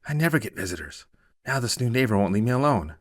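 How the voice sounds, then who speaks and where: A man's voice: mid-range voice, sing-song, quick pace, voice pushed up, natural voice. A man voicing a reclusive, wary middle-aged woman with a silky, guarded, terse way of speaking in a short story. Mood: wary, curt.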